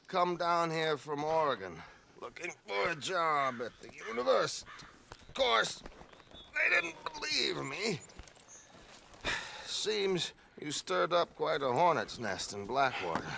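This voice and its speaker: Florid gentleman swindler, high voice, swooping intonation, pompous politeness, game cutscene, faint noise. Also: sing-song, slow, creaky, full character voice. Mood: wry. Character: theatrical, flamboyant gentleman conman, slippery and self-consciously eloquent. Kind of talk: video game cutscene dialogue.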